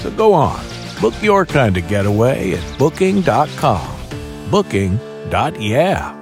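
A man voices a travel ad with folksy cowboy charm. His voice is gravelly. Music plays clearly under him. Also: medium pitch, very animated, unhurried. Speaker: ad voice-over.

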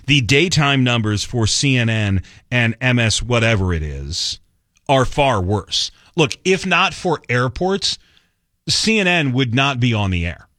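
A man's voice: mid-range voice, sing-song, measured pace, fairly bright tone, no echo, clean studio sound. Sarcastic male talk radio host. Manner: sarcastic, mocking quotes. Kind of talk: conservative talk radio monologue. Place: radio studio. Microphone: studio mic.

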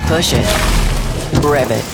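A woman's voice: extremely low pitch, takes it slowly, gravelly. A woman announcing a car commercial in a clipped, emphatic scripted read. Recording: commercial with loud music bed.

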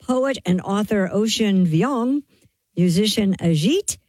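A woman's voice: medium pitch, animated, takes it slowly, darkish tone, no echo. A woman co-hosting a talk show, chatting casually and reading out entertainment news.

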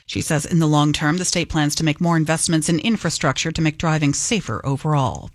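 A woman's voice: deep voice, a little fast, dry recording. Woman anchor reading radio news, dry sound.

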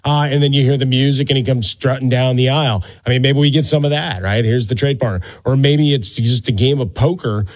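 A man's voice: mid-range voice, fairly quick, few pauses, no echo. A man hosting a sports talk radio show in a casual, playful, rambling monologue on a close studio microphone. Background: music.

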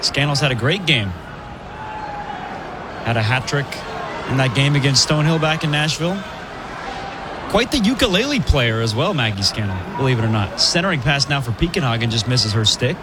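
A man calling live women's college hockey play-by-play, brisk and chatty, laughing easily between plays. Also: mid-range voice, big pitch swings, noisy background. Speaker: play-by-play commentator.

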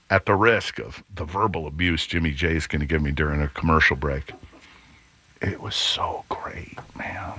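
A middle-aged man hosting a radio show, telling a rambling story in a booming, animated voice that keeps stopping and restarting. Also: slightly rough. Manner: rambling, self-interrupting, laughs at own jokes. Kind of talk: casual storytelling chat.

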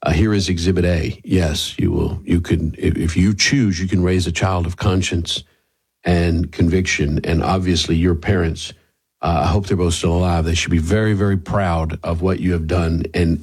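A man's voice: deep voice, fairly even intonation, moderate pace, darkish tone, slightly rough. A man hosting a radio show, speaking in a conversational interviewing style.

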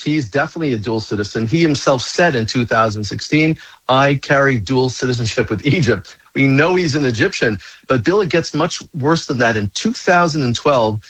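A man's voice: fairly high pitch, fairly bright tone, breathy edge, dry recording, poor audio. A man appearing as a rabbi guest on a talk radio show, speaking urgently and insistently, repeating his warnings.

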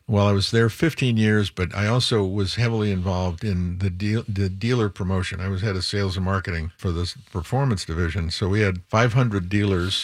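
An older man with a very low voice talks as an interview guest. He rambles a little, restarting and correcting himself as he strings together lists. The sound is dry and close, with no room echo. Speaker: museum executive guest. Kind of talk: interview conversation.

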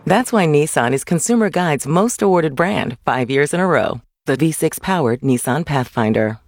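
Very deep-voiced female advert announcer. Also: lively intonation, moderate pace, dry recording, clean studio sound. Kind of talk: scripted advert narration.

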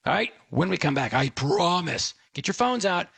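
Gravelly fast-talking man hosting a radio show. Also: fairly high pitch, dry recording. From Texas. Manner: fast, animated talker. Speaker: radio tech show host. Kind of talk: casual radio monologue.

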